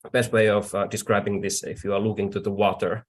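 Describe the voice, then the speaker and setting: A man with a Finnish accent explaining things deliberately and haltingly, like an online lecturer. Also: on the low side, flat delivery. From Finland. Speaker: accordion artisan, presenter.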